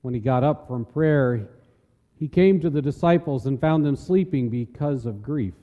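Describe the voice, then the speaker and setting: A man's voice: medium pitch, normal speed, dark tone. A man narrating scripture from a script as part of a dramatic reading. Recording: echoey hall with long reverb.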